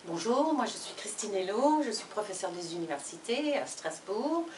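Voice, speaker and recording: A woman, a university professor and sociolinguist, presenting a book in a fluent, measured way. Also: medium pitch, very animated, darkish tone, a little hiss or hum. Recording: echoey room from far off.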